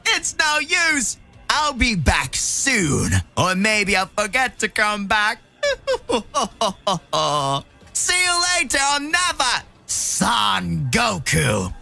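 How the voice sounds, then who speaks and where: A man voicing a sly, falsely polite alien tyrant who taunts cruelly in a drawn-out, theatrical way. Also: high voice, wildly swooping pitch, gravelly, bright, forceful tone, faint music behind, voice pushed up, heavily acted. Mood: gleeful, mocking.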